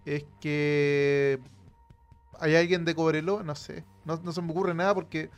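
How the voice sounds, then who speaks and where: A man co-hosting a casual football podcast, relaxed with dry jokes. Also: fairly high pitch, unhurried, clear, resonant tone, faint music behind. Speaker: podcast co-host.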